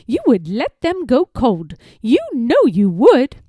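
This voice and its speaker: A woman voicing a brisk, impatient lady duck from a storybook, blunt and emphatic as she scolds. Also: on the high side, huge pitch swings, mellow, slightly rough, no room sound, studio quality, full character voice. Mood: scolding. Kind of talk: storybook character voice.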